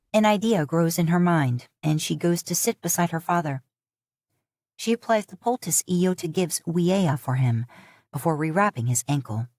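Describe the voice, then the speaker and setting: A woman narrating a fan fiction audiobook in a calm, even storytelling manner. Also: deep voice, no room sound, studio quality. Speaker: podfic narrator.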